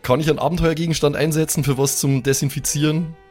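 A man's voice: a little high, fairly even intonation, quick pace, a little mellow. A man improvising as a player in a role-playing podcast, rambling in laid-back youth slang. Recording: music behind. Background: instrumental background music.

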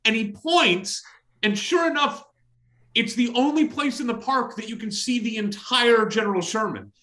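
A middle-aged man with a high, bright, forceful voice tells a casual story on a podcast. He is loud and exclamatory, slipping into quoted dialogue as he recounts what people said. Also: normal speed. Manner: exclamatory, acts out dialogue in his stories. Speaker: podcast host. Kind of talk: animated anecdotal storytelling in casual chat.

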